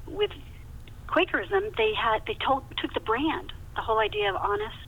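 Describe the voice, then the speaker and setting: A woman's voice: a little high, expressive, normal speed, breathy edge. A woman guest telling stories conversationally in an interview, with an emphatic way of talking, repeating words for emphasis. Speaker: novelist, podcast guest. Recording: muffled phone line with hiss.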